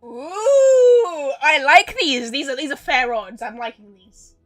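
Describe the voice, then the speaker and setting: A woman livestreamer giving casual, expressive, chatty reaction commentary on a game. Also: sits high, slow pace, soft background music.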